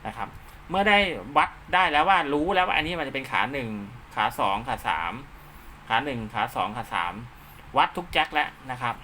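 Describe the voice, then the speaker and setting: A man's voice: mid-range voice, sharp tone, slight background noise. A man giving an informal hands-on tutorial step by step, often checking that the listener follows. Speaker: instructor, channel host.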